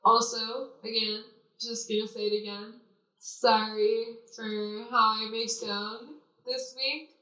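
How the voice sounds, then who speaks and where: Slow-talking congested woman host. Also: a little high, flat delivery, bright, forceful tone. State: congested from a cold. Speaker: podcast host. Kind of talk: casual podcast outro. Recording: echoey room, from far off, faint music.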